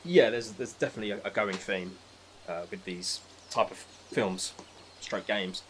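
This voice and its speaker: A man with a fairly low voice speaks as a podcast guest. He chats casually about films, with some noise audible in the recording. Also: a little slow. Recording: noticeable background noise.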